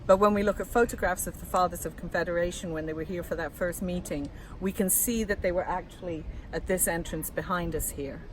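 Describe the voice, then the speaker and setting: English woman with a blended regional accent, low register, poised and knowledgeable; outdoor recording with clear traffic noise behind. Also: a little fast, hardly any pauses. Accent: mixed English, from Lancashire and moving around. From England. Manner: articulate, informative, laughs easily. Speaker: passer-by interviewed, author. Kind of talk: casual street interview. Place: outdoors in the shade beside a historic building.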